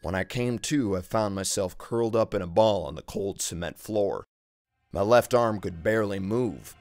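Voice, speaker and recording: A man's voice: on the low side, normal speed, darkish tone, dry recording, clean studio sound. A man narrating a first-person horror story in an intense, theatrical, dramatic way. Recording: music behind the voice.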